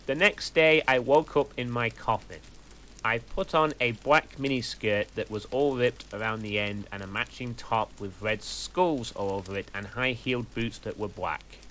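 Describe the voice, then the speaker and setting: A man doing a slow, deadpan comic reading of a fan story. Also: mid-range voice, slight background noise, poor audio. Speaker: reader.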